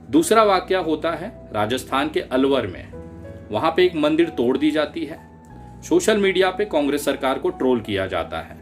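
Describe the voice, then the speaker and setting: A man delivers opinion commentary in a mid-pitched voice with very lively intonation. His manner is emphatic and rhetorical, with indignation in it. Faint music plays underneath. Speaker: political commentator. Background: background music.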